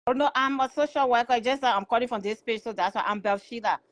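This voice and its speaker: A woman's voice: a little high, fast. A Liberian woman calling in to a show, a social worker, talking eagerly and repeating yes and yeah. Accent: Liberian English. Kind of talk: phone-in chat.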